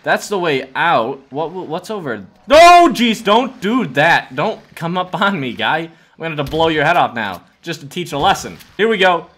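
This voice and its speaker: A young man in his twenties hosts a gameplay video in a high voice that swings widely in pitch. He reacts dramatically and jokes along, talking to the game characters as he plays. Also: very animated, moderate pace, hardly any pauses, a bit bright. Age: 20s. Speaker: gaming video host. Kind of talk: excitable gameplay commentary.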